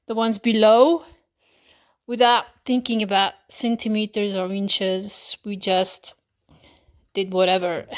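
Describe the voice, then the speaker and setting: A woman with a Dutch accent casually explaining a craft project, pausing often with fillers and correcting herself as she goes. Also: a little high. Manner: hesitant, many pauses and fillers, self-correcting. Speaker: hobby crafter showing a miniature build. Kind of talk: casual show-and-tell explanation.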